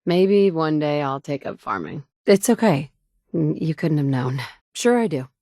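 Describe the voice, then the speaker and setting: Determined mother in a game: blunt, dry-witted, lower register, close dry booth read. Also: very animated, a little slow, dark tone, no room sound, heavily acted. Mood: determined, wry. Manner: dry wit, blunt and curt when annoyed. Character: determined mother searching for her son, wry. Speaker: player character. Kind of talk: game dialogue lines. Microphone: close studio mic.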